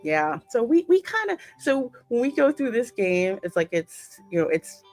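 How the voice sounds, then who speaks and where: A woman's voice: a little high, big pitch swings, moderate pace, darkish tone, soft background music. An American woman casually commenting as host of a gaming channel. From United States.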